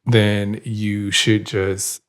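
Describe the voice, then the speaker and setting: A man's voice: fairly low pitch, little pitch movement, drawn-out words. Emphatic, opinionated male podcast host. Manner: opinionated and emphatic, admits he is long-winded. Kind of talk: casual podcast chat.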